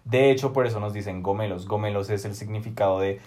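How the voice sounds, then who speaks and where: A young man in his twenties with a central Colombian accent, co-hosting a casual podcast in a measured, explanatory way, recorded on a phone in a dark room. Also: fairly low pitch. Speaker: podcast co-host. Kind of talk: casual chat.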